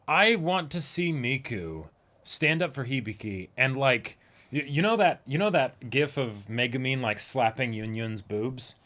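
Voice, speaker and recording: A man with a bright, mid-range voice co-hosts a casual reaction chat. He speaks emphatically and with strong opinions, often slipping into sarcasm. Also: mid-range voice, lively intonation, a little slow. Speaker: reaction show co-host. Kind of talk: casual chat discussing an anime episode.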